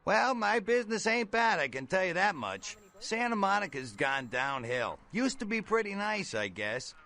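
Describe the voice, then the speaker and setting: A man playing a brash, folksy middle-aged bail bondsman in a video game, with a gravelly voice and blunt, slangy talk. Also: sits high, very animated, normal speed, pushed and bright, heavily acted. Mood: chatty. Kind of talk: video game character dialogue.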